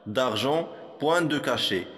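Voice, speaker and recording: Male reciter for school poetry: unhurried, measured, instructive delivery, mid pitch, big reverberant hall sound. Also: lively intonation, a bit bright. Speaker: poem reciter for a primary school lesson.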